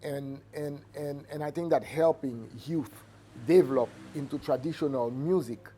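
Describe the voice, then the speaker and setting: A middle-aged man with a Haitian accent giving reflective interview answers as a Vodou priest, measured and halting as he searches for words. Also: on the high side, mellow. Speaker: Vodou priest and cultural entrepreneur. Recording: noticeable background ambient noise.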